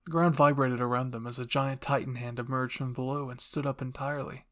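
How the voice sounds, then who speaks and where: A man's voice: mid-range voice, steady tone, fairly quick, a bit bright. A man delivering scripted narration as the host of a fan fiction audio channel.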